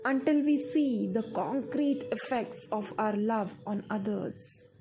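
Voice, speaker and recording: Slow, higher-pitched Indian woman guiding meditation. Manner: measured, deliberate phrasing with pauses. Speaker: meditation guide. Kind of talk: guided meditation narration, scripted. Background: music.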